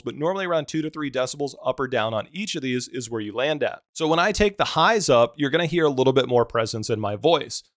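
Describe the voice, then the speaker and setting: A man with a deep voice giving a relaxed, practical walkthrough of streaming audio settings. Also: no room sound, clean studio sound. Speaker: streaming tutorial host. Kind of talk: screen-recorded software tutorial.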